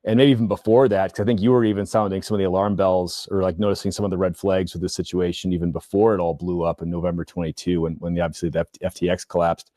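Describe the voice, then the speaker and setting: A man's voice: low voice, flat delivery, fast talker, hardly any pauses, mellow, no echo, clean studio sound. A man hosting a podcast, asking long, rambling questions with many fillers and restarts in casual chat. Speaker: podcast host.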